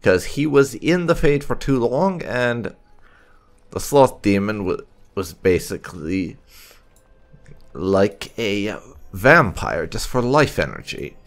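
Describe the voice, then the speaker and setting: A man's voice: on the low side, very animated, slow. A man giving casual let's play commentary, rambling and musing to himself as he goes. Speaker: gaming video host.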